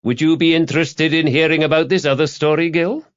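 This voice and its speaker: A man's voice: a little high, fairly even intonation, a little fast, clean tone, muffled recording, pitched above the performer's own voice, natural voice. A man voicing a sly newspaper reporter in an audiobook, needling and insinuating as he fishes for information in a conversational way. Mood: probing.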